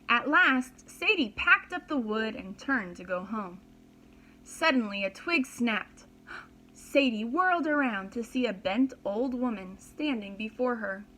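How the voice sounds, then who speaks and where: A woman pre-kindergarten teacher narrates a picture book with slow, expressive care. Her voice is fairly high, and she explains things for young listeners over faint background noise. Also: rough recording. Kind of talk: read-aloud storybook narration for young children.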